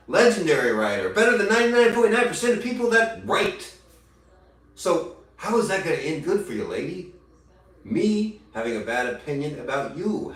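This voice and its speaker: A man livestreaming an angry, profane rant that taunts his critics, now and then mimicking them in a shrill mocking voice. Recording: echoey room, voice from far off the mic.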